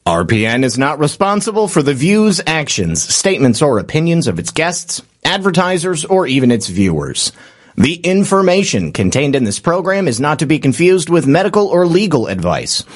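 A man delivers a scripted program disclaimer. His voice is slightly high, with animated rises and falls in pitch. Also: normal speed. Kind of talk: scripted narration.

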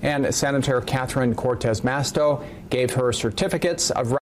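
A man's voice: medium pitch, moderate pace. A man reading scripted news narration as a reporter, in a replayed news clip. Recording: clear background noise, replayed news clip.

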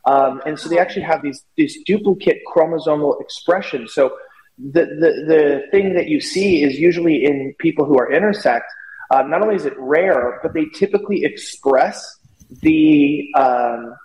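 A man's voice: a little high, normal speed, a little mellow. A man giving casual, opinionated commentary as a talk show guest, explaining at length in a rambling way. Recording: echoey room with long reverb.

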